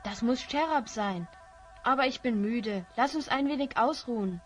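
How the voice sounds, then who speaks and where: A woman's voice: a little high, lively intonation, moderate pace, soft background music, close to an everyday voice. A woman playing an earnest young boy hero stranded in a fantasy world, in a children's audio drama. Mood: friendly, tired.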